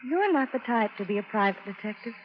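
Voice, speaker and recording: Reverberant, poised radio ingenue actress. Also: female voice, fairly high pitch, lively intonation, fast, a little hiss or hum, close to an everyday voice. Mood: wistful, curious. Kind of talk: 1940s-50s radio drama acting. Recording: dull old radio recording, long reverb.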